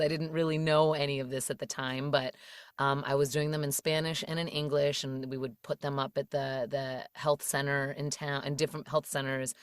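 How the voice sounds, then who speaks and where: A woman in her thirties from the Washington DC area chats as a podcast guest with a low voice. She talks with plenty of fillers and easy laughter. The close mic gives a dry sound with no room echo. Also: clear, resonant tone. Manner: chatty, laughs often, many fillers. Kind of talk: casual interview chat.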